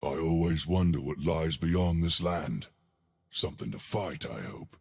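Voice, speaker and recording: A man voicing a huge, boastful, simple-minded stone giant from a video game, eager for a fight, with a gravelly, husky voice and a slow, hammy delivery. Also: rumbling low, a little mellow, full character voice. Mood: wistful. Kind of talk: video game character voice lines.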